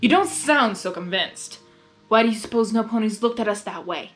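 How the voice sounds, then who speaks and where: A woman's voice: fairly high pitch, lively intonation, moderate pace, fairly bright tone, faint music behind. A woman puts on a little male shoulder devil for a storybook fantasy. The voice is raised and emphatic, goading its listener with smug, vain mischief. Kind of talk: fan fiction character reading.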